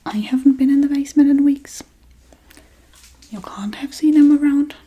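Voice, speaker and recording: A woman voicing a playful, forgetful, menacing witch in a hushed, coaxing, whispery ASMR role-play. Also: sits high, normal speed, pitched above the performer's own voice, natural voice. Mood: teasing, menacing. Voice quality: breathy, whispery.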